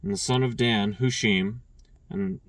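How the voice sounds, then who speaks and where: A man reading scripture aloud, stumbling and restarting on names and clearing his throat now and then. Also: mid-range voice, expressive, measured pace, no echo, recorded from a distance. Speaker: scripture reader.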